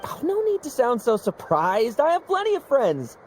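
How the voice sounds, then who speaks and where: A cocky young female knight captain whose slightly high voice leaps through a wide range as she taunts. Her delivery is brash and quick to challenge. Faint noise hums underneath. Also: wildly swooping pitch.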